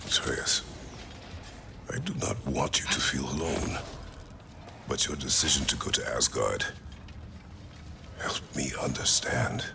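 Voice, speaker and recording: Extremely deep, gravelly stoic warrior father. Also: slow, pauses often, big-room sound, background noise, full character voice. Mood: solemn. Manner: terse, formal, no contractions, grave. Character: stern, stoic, protective warrior father, huge and battle-worn. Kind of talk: dramatic video game cutscene acting.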